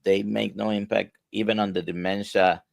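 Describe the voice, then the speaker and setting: A man with a Spanish accent, a native Spanish speaker, hosting a casual live-stream interview as a doctor, talking haltingly with many "uh" fillers. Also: on the low side, flat delivery, gravelly, dry recording, clean studio sound. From grew up speaking Spanish, now near Orlando. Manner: halting, many "uh" fillers, reads viewer questions. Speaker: host, physician.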